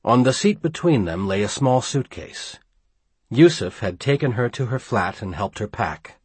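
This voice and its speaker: A man narrating a thriller audiobook in a measured, dramatic storytelling manner. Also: medium pitch, lively intonation, a little mellow, husky, no room sound. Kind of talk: scripted narration.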